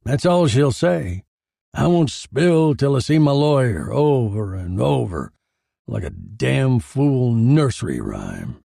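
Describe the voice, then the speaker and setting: A man's voice: medium pitch, sing-song, takes it slowly, vocal fry, warm tone, dry recording, clean studio sound, exaggerated voice. A man playing a cynical, exasperated police detective who talks tough and slangy, in the style of a 1940s crime drama. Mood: weary exasperation. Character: hard-boiled gruff police detective, big man, cynical.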